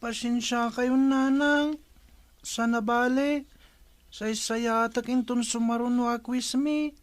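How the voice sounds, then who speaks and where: A woman's voice: fairly high pitch, fairly even intonation, takes it slowly. A woman playing a meek, apologetic young schoolboy in a radio drama, timid in manner.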